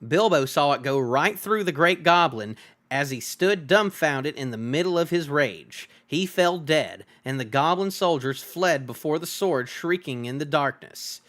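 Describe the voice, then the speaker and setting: A man's voice: a little high, lively intonation, a little slow, a bit bright. A man reading a fantasy novel aloud as a streamer, in dramatic storybook narration.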